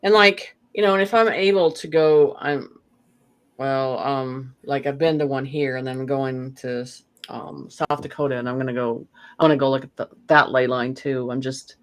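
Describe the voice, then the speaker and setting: A guest in a casual conversation, rambling and animated, often saying "you know.". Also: mid-range voice, moderate pace. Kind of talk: casual chat.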